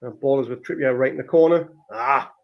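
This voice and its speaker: Guy hosting a football watchalong, casual fan commentary, exclaims at the action, medium pitched voice. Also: brisk. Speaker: football watchalong podcast host.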